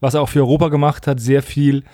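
A man's voice: mid-range voice, flat delivery, fast talker, warm tone, no echo, clean studio sound. A man co-hosting a podcast in casual, colloquial talk, giving frank opinions. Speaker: podcast co-host.

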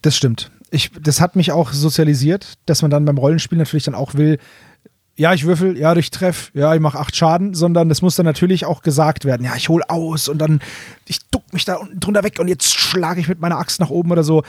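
A man hosting a casual fan podcast, explaining things in an animated, colloquial way. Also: a little high, fairly quick, breathy edge, dry recording, clean studio sound. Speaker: podcast host presenting audio dramas.

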